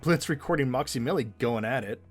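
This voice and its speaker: A man co-hosting a conversational commentary on an animated episode, with an animated, bantering delivery. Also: medium pitch, brisk, husky, soft background music. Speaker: co-host of fan commentary video.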